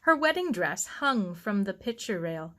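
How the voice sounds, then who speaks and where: A woman reading a children's novel aloud in an expressive storytelling style. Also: mid-range voice, a little slow. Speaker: audiobook reader. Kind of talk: expressive scripted story narration.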